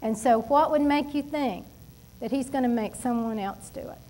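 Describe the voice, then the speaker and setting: A middle-aged woman preaching a sermon from a church pulpit, emphatic and animated, with asides to the congregation. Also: sits high, normal speed, slight background noise. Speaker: pastor. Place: church stage at a wooden pulpit.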